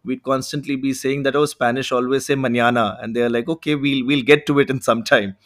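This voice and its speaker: A man's voice: medium pitch, steady tone, fairly quick. A man hosting a podcast, giving polished introductions and guiding the conversation. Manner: polished, welcoming introductions with frequent "you know". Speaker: podcast host.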